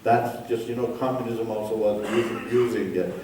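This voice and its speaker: A man's voice: fairly low pitch, fairly even intonation, distant mic, background noise. Older man, echoey hall. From Iran. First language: Turkish. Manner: emphatic, in short halting phrases. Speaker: guest speaker. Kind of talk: personal testimony talk. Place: church hall.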